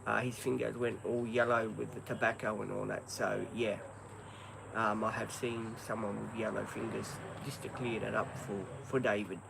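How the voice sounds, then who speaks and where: A man's voice: on the low side, level tone, normal speed, vocal fry, noisy background. An Australian man in his fifties chatting on a casual livestream, hesitant and rambling with many ums. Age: 50s. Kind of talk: casual live-stream chat. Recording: crickets and insects behind.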